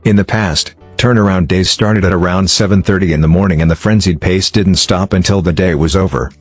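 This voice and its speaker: A man reading a scripted explainer narration in a measured, formal way. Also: sits low. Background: background music.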